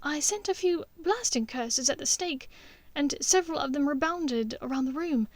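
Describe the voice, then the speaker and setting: Woman acting a distraught young witch, high and plaintive. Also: lively intonation, moderate pace, no echo. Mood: shaken, frightened. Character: distraught young witch, frightened and guilt-ridden. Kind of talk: audiobook character voice.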